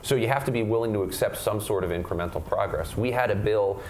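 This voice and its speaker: Earnest low-voiced man answering questions. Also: fast, a little mellow, a little hiss or hum. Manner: earnest, repeats words and restarts sentences. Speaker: state legislator at advocacy forum. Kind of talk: panel Q&A answers.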